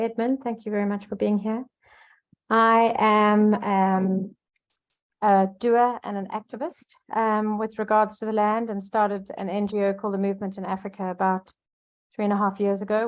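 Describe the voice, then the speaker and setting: A woman introducing herself on a video call, enthusiastic and warm, with a few filler ums. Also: mid-range voice. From Zambia. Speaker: NGO founder, course participant.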